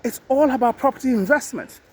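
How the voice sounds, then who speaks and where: High-pitched man giving emphatic advice outdoors. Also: fast, slight background noise. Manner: emphatic, repetitive, exhorting. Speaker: real estate investor giving advice. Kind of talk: off-the-cuff vlog advice to camera. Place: outdoors near a beach at night.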